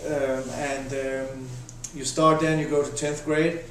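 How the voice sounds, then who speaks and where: A Danish-accented man in his thirties talks in an even, flat way, stopping often to restart his sentences. His voice sounds quite far from the mic, with strong echo in the room and a faint hiss behind it. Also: mid-range voice. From Denmark. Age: 30s. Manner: halting, many restarts and fillers. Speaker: interviewee, volunteer volleyball coach. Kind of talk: casual interview answers. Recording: echoey room, voice from a distance.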